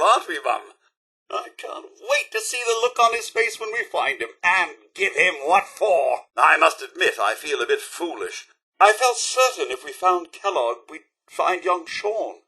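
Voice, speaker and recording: A man's voice: a little high, pushed and bright, breathy edge, full character voice. A man with a British accent voicing a prim, loyal robot butler in a video game, with a formal, fussy, theatrical manner. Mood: eager. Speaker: companion character in a video game. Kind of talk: scripted game dialogue.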